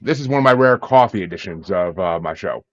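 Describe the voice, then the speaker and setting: A man's voice: deep voice, lively intonation, a little fast. A man hosting a podcast with casual, jokey and self-deprecating interview chat. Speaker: podcast host. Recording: dull lo-fi sound, roomy echo.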